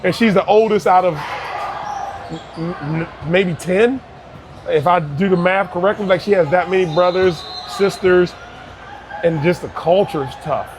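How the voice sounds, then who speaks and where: A man's voice: high-pitched, unhurried, a little hiss or hum. A man in his thirties from Barbados, a youth basketball coach talking earnestly in an interview, often restarting his words. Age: 30s. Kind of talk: casual interview conversation.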